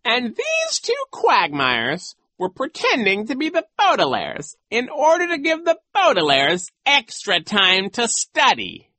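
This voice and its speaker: A sly, cruel male coach from a children's storybook acted out by a woman. He speaks high and slowly, stretching words theatrically with smug false regret, his pitch sweeping up and down. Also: huge pitch swings, pushed and bright, no echo, full character voice. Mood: dramatic, aggrieved.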